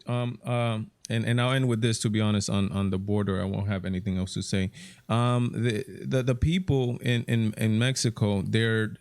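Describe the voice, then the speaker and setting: A man hosts a casual panel talk at a mid-range pitch, stumbling over his words and restarting often. His voice is dry and close, with no room sound. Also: darkish tone, no room sound. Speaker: show host.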